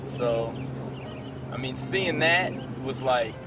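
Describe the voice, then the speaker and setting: A man in his twenties from Detroit talks casually in an interview, pausing often and filling gaps with "you know". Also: medium pitch, far from the mic, noisy background. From Detroit, Michigan. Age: 20s. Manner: casual, halting, many fillers. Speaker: reality show cast member. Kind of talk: confessional interview.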